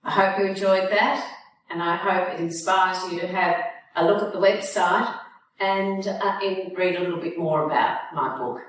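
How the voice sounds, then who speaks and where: A woman's voice: on the low side, normal speed. A woman, an author reading her own fiction aloud in a storytelling style. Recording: echoey room, distant mic, faint noise.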